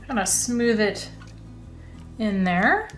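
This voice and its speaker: A woman's voice: medium pitch, slow. Woman walking you through a bake step by step, easygoing, echoey kitchen, bit of music under it. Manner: step-by-step, chatty and relaxed. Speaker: cooking channel host. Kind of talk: casual baking demonstration. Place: home kitchen.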